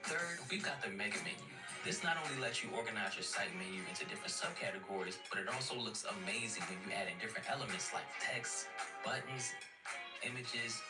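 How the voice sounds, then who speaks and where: A man presenting a casual, slangy scripted tutorial advertisement. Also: lowish voice. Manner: informal, slangy. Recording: roomy, distant playback under loud music.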